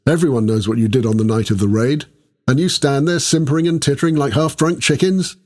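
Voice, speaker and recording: A man's voice: medium pitch, a little fast, darkish tone, voice pushed up, lightly acted. A man voicing an imperious, exasperated young noblewoman who speaks in snappish commands in a storybook reading. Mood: stern, exasperated. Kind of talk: audiobook character voice.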